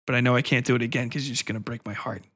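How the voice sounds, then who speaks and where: A man's voice: mid-range voice, fairly even intonation, very fast, a bit bright. A man ranting casually as a podcast host, blunt, profane and exasperated, rambling through his opinions in slangy speech.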